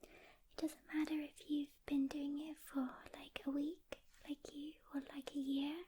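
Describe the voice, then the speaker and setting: Softly whispering woman, slow and gentle. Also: high voice, flat delivery. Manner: gentle, reassuring, unhurried instruction with pauses. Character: calm, caring female demon hunter mentoring a rookie. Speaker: ASMR roleplay performer. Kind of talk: soft-spoken whispered ASMR roleplay.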